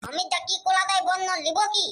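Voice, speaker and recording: Childlike cartoon villager, extremely high squeaky voice, bright, babyish lisp, nagging haggler, Purulia Bengali accent. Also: little pitch movement, fast talker. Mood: haggling. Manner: pleading, nagging village bargainer. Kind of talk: comedy cartoon dialogue.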